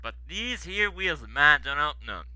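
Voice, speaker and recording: An earnest American storybook engine, played by a man with a strong accent. His voice is very bright and slightly high, folksy and sincere, recorded dry. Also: lively intonation, moderate pace, smooth, no echo, rough recording. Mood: mournful. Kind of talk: character voice in a children's train story.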